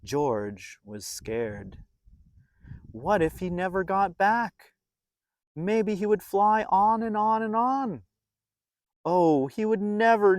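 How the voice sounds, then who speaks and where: A children's storyteller with a very slow, deliberate delivery and a close, dry sound. The reading is expressive and animated, with dramatic pauses, pitched as a read-aloud for young listeners. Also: gravelly, dry recording.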